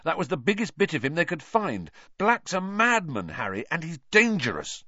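A man voicing a blunt, excitable teenage boy, a worried loyal friend in a novel, speaking sharply. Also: medium pitch, fairly quick, husky, no room sound, lo-fi sound, pitched above the performer's own voice, exaggerated voice. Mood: furious. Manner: sharp, exclamatory.

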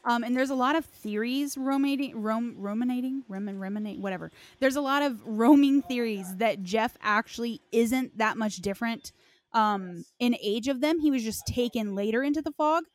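A woman's voice: a little high, normal speed. A woman hosting a casual discussion, speaking in a loose, conversational way with plenty of hedging. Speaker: host of a game lore discussion.

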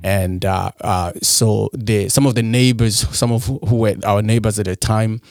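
A low-pitched young man from Rwanda speaks as a podcast guest. He is soft-spoken and halting, often restarting his sentences. The sound is close and dry. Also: steady tone, dry recording. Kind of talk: personal story in a podcast interview.

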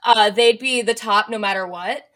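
Bright-voiced young woman hosting a podcast. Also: on the high side, moderate pace. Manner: chatty, self-mocking, upbeat. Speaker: podcast host. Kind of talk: casual sports podcast chat.

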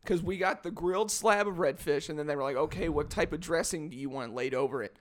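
A man co-hosting a podcast in casual chat, swearing often and chiming in quickly. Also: fairly high pitch. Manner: profane, chatty, quick agreeing interjections. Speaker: podcast co-host.

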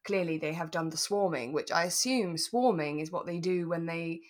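A British woman co-hosting a relaxed chat podcast, giggly and self-mocking as she tells things in an animated way. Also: fairly low pitch, normal speed. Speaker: podcast co-host. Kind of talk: relaxed chat about a radio drama.

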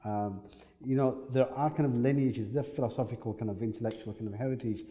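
A man speaking as an academic panelist, measured and hesitant, with frequent "kind of" and restarts. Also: medium pitch, steady tone, muffled recording. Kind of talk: panel discussion. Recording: echoey hall.

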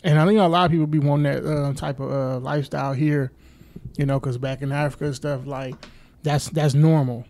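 Male interview host, American accent. Relaxed street-slang phrasing, pitched a bit high, recorded dry. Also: fairly quick, no room sound. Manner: informal, slangy, conversational. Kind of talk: casual interview.

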